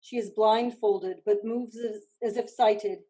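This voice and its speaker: Casting: woman narrator for a play reading, soft-edged dark timbre, slow and measured with scripted stage directions. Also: medium pitch, steady tone, husky, rough recording.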